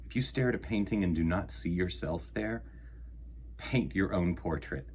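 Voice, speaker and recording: A man hosting a storytime, presenting and reading a children's picture book aloud in measured phrases. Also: low-pitched, a little mellow, slight background noise.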